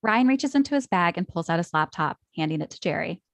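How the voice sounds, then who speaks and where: A woman's voice: on the low side, animated, fast talker, gravelly, dry recording. A woman reading screenplay stage directions as scripted narration.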